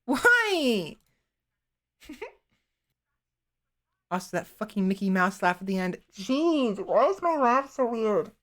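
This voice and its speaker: A woman streamer chatting casually into a desktop microphone, rambling and halting, often restarting her sentences and poking fun at herself. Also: fairly high pitch, big pitch swings, a bit bright. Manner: self-deprecating. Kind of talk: casual live-stream chat.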